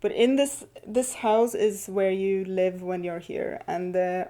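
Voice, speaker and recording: A woman with a medium-pitched, mellow voice hosts a conversation. She speaks clearly and in a measured way, leading the talk. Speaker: interviewer, host. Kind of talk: hosted conversation. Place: small farmhouse room, indoors.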